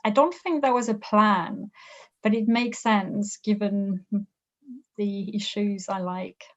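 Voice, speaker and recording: A middle-aged woman from France with a French accent, a business professor answering interview questions thoughtfully, pausing often. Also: medium pitch, fairly even intonation, lo-fi sound. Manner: thoughtful, with frequent hesitations and restarts.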